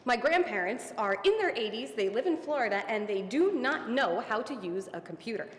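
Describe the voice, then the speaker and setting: A woman reading a heartfelt personal story aloud at a theater event, tender and slowing down on the emotional lines. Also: a little high, expressive, a little hiss or hum. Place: theater stage. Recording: echoey hall, faint ambience.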